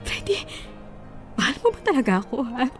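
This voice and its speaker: A woman's voice: a little high, sing-song, fairly quick, faint music behind. A woman acting a distressed, pleading wife who resists her husband in a radio drama. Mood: hurt, pleading. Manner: pleading, emotional protests.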